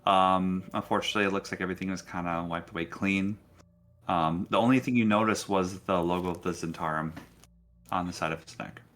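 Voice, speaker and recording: A man serves as game master for a tabletop session. He improvises scenes in a casual, halting manner, talking directly to his players. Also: deep voice, fairly even intonation, a bit bright.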